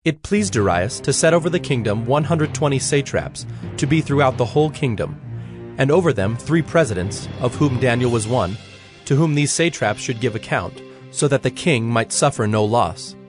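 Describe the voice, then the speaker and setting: A man's voice: medium pitch, lively intonation, normal speed, over music. A man narrating a dramatized scripture reading in a scripted storytelling style.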